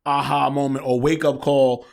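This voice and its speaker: A man in his forties with a New York accent, hosting a casual interview chat in a relaxed, streetwise way. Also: mid-range voice, normal speed, gravelly. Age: 40s. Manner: relaxed, streetwise, keeps saying "you know what I'm saying". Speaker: podcast host.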